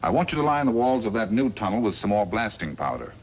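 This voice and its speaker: A man's voice: lowish voice, very animated, quick pace. An actor playing a scheming, smug crooked lawyer in a 1950s radio western, calculating and emphatic in his delivery. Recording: noisy vintage 1950s radio recording.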